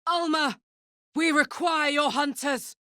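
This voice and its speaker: A woman playing a big, horned hellspawn warrior in a video game, brash and casual, warm-hearted, playful and hot-tempered. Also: sits high, steady tone, takes it slowly, no echo, clean studio sound, exaggerated voice. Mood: urgent. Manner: brash, casual, emotionally open. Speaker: video game character voice actor. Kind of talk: expressive video game voice acting.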